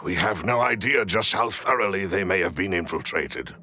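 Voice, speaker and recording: An actor playing a grave, loyal butler in a video game, speaking formally and deferentially with a gravelly edge. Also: male voice, low-pitched, animated, sharp tone, slight background noise. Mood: cautious, serious. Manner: formal, deferential, measured explaining. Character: loyal, grave male butler and shrewd confidant. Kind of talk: dramatic video game cutscene acting.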